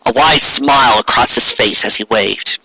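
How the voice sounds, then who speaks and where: Forceful, husky male storyteller. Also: medium pitch, normal speed, rough recording. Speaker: story narrator. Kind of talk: scripted fan fiction storytelling.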